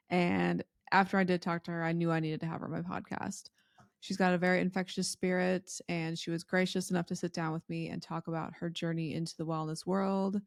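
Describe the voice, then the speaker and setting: A woman hosting a podcast, chatty and full of enthusiasm as she introduces her guest and asks her questions. Her voice sits a little low and carries plenty of gravelly fry. The sound is close and dry. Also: no echo. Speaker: podcast host.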